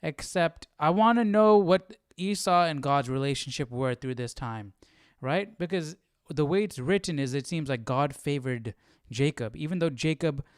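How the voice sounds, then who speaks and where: A man's voice: fairly high pitch, smooth, no room sound, studio quality. A man hosting a casual live Bible study, thinking aloud and questioning, often checking with "right?".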